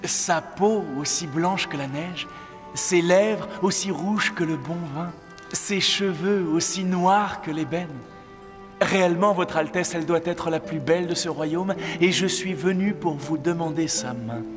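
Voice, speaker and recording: Male actor for gallant young fairy-tale prince in a cartoon: lightly raised pitch, formal, echoing hall. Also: a little slow, music in the background, close to an everyday voice. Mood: ardent, formal. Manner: formal, courtly. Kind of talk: animated fairy-tale dubbing. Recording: long echoing hall reverb.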